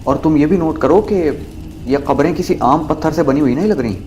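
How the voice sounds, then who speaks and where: A man's voice: on the high side, a little fast, a little mellow, highs cut off, slight background noise, natural voice. A man playing a bold, curious young university student in an audio drama. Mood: curious, puzzled.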